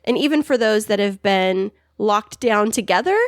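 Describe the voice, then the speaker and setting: A woman's voice: mid-range voice, moderate pace, dry recording, clean studio sound. A woman co-hosting a podcast, upbeat and enthusiastic, with a playful streak. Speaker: podcast co-host. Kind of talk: casual podcast chat.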